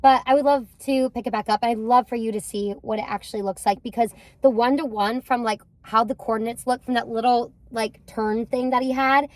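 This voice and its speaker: A woman's voice: on the high side, fairly even intonation, fairly quick, few pauses, no room sound. A young woman co-hosting a casual movie review from a parked car, rambling and enthusiastic with lots of fillers.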